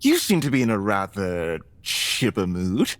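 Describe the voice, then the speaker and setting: A man plays a polite, measured vampire mentor for an audio drama. His phrasing is formal and courteous, yet the melody moves around a lot. The sound is dry and intimate. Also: lowish voice, wildly swooping pitch, no echo. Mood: pleasantly greeting.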